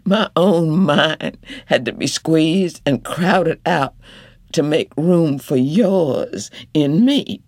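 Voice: medium pitch, lively intonation, measured pace, vocal fry, darkish tone, breathy edge, no room sound, voice pushed up, full character voice. A reader playing a young wife, raised voice, defiant, earnest, dry sound. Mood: reproachful. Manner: earnest, rising to fierce reproach. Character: young rural wife, hurt but defiant, pleading.

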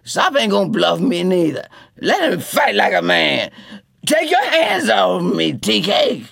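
A man voicing a rowdy young drunk who is spoiling for a fight, loud and defiant. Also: fairly high pitch, animated, slow, dry recording, clean studio sound. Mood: belligerent.

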